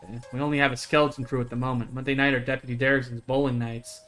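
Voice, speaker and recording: A serious small-town deputy in a horror game, voiced by a man, stiff and formal. He speaks with procedural insistence in a bright, firm tone, with music underneath. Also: medium pitch, little pitch movement, fast talker, close to an everyday voice. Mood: officious. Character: serious, officious male deputy, curt and formal.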